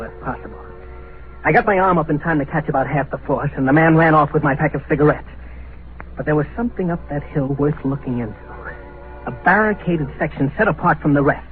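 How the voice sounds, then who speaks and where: A man's voice: a little high, close to an everyday voice. An actor playing a wry, hard-boiled male newspaperman who tells his story in an old radio drama. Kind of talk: old radio drama first-person narration and acting. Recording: tinny old radio recording, music behind.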